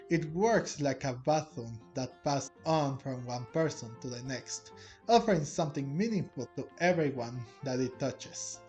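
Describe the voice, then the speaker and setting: A man hosting a game playthrough, reading dialogue aloud and commenting in a rambling, hesitant way. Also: a little high. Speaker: gaming video host.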